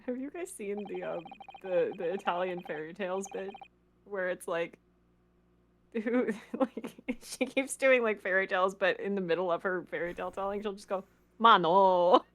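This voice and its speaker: A woman's voice: medium pitch, moderate pace, a little hiss or hum. A woman streamer chatting casually and laughing at herself between game lines. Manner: giggly, self-deprecating. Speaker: streamer voicing game characters. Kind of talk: casual group chat over a game stream.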